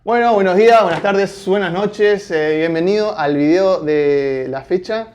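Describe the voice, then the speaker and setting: Argentine guy hosting a chill interview, friendly, kinda high voice. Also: measured pace, a little mellow, clean tone. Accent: Argentine Spanish. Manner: friendly, upbeat, uses voseo. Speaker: interviewer, vlog host. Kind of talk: casual interview chat. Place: office.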